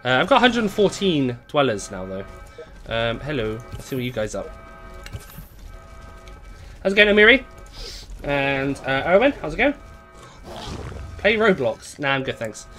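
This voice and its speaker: A young man with a hoarse, fading voice, chatting casually to viewers as he live-streams a game at home on a desk mic. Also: mid-range voice, very animated, normal speed, pauses often, sharp tone, soft background music. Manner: chatty, greets viewers, reads chat aloud. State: hoarse, voice going.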